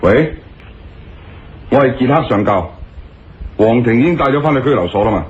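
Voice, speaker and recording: A man's voice: medium pitch, lively intonation, moderate pace, lo-fi sound, lightly acted. A man playing a brusque, sceptical police colonel in a Cantonese radio drama. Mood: commanding.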